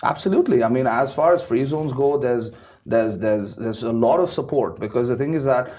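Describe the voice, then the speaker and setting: A man's voice: medium pitch, lively intonation, brisk, mellow. A man speaking as a guest in a casual panel discussion, often repeating words and ending points with "right?". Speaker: business setup guest.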